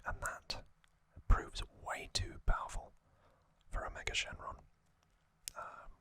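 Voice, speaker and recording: Whispering male ASMR host. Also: bright, forceful tone. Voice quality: breathy unvoiced whisper. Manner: unhurried, halting, short phrases.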